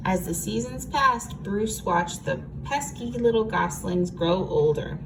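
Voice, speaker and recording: A woman reading a picture book aloud to children in an expressive, teacherly way, with asides and questions. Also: mid-range voice, measured pace. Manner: expressive, explains words and asks listeners questions. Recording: noisy, distant microphone.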